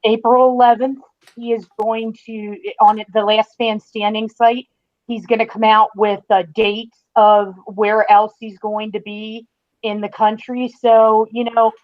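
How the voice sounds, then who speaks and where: A woman's voice: fairly high pitch, flat delivery, unhurried. A woman speaking as a podcast guest, giving news in a casual, chatty way. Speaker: podcast guest, fan-site writer. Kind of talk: casual informative chat. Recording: slightly dull online-call audio.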